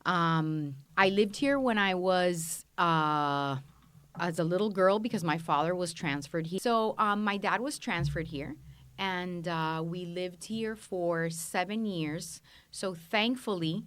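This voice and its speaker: A woman's voice: on the low side, slow pace, a bit bright, smooth. A middle-aged woman from Colombia answering conversationally as a guest in a studio podcast interview. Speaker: podcast guest, wine shop and restaurant owner.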